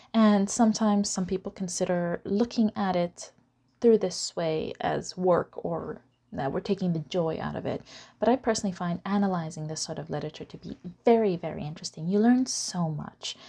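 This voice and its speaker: A woman's voice: mid-range voice, warm tone. A woman presenting an informal explainer lecture, chatty and given to wry asides. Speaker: presenter of an educational video series.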